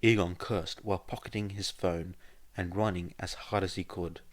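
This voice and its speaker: A man's voice: low voice, steady tone, moderate pace. A man reading scripted fan fiction as an audiobook-style narrator. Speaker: podfic narrator.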